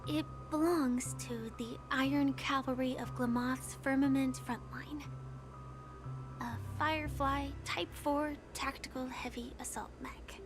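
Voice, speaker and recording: An actress playing a gentle, earnest young woman in a game, speaking softly and confidingly. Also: high-pitched. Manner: soft, hushed, confiding. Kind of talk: game voice acting. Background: game music.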